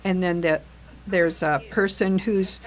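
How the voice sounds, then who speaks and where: An older woman speaks as a guest in a casual interview and thinks aloud about performing. Her voice sits on the low side. She talks haltingly, pausing and restarting often. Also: fairly even intonation. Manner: hesitant, many fillers and restarts. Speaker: guest, theater group facilitator.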